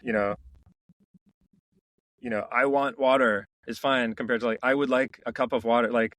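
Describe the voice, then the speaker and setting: A middle-aged man, a company founder, telling stories in a relaxed interview, reflective and full of restarts. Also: medium pitch, moderate pace, dry recording, poor audio. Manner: reflective, many restarts and "you know" fillers. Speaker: founder and CEO, podcast guest. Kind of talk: casual interview storytelling.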